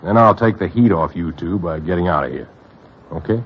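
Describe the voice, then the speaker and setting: Husky New crook Dancing Dan. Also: male voice, sits low, a little fast. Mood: earnest, hopeful. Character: Dancing Dan, lovestruck weary crook hoping to go straight. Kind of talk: 1940s radio drama acting. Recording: dull vintage radio recording.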